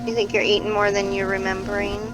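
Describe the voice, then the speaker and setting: A woman playing an encouraging, practical clinician in a naturalistic audio drama, talking in a reassuring, gently coaxing, conversational way. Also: mid-range voice, monotone, fast talker, over music, lightly acted, low-quality recording. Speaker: doctor or therapist.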